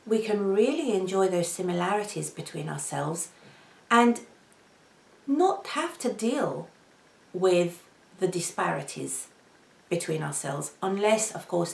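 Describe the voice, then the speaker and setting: A middle-aged woman speaks very softly and unhurriedly, with a gravelly edge to her fairly low voice. She talks to the camera from a seated spot in her living room, and the recording carries a noticeable room echo. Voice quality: very soft voice. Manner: speaks slowly and gently, gestures with both hands. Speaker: vlogger. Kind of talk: reflective personal talk to camera. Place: home living room, indoors. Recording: roomy echo.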